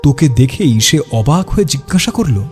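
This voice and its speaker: A man's voice: mid-range voice, big pitch swings, moderate pace, a little mellow. A man narrating a scripted horror story in a dramatic, suspenseful storytelling style. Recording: music behind the voice. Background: music.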